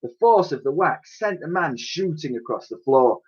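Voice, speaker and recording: Guy reading a kids story out loud, really animated, kinda muffled lo-fi audio. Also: on the high side, moderate pace, slightly rough. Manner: expressive, dramatic storytelling. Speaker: primary school teacher reading aloud. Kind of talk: animated children's storybook narration. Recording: dull, lo-fi recording.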